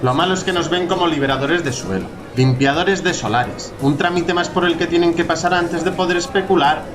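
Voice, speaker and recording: A man's voice: a little high, brisk, lightly acted. A man playing an earnest, idealistic archaeology pupil making speeches in a scripted radio soap. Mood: earnest concern. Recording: music behind the voice.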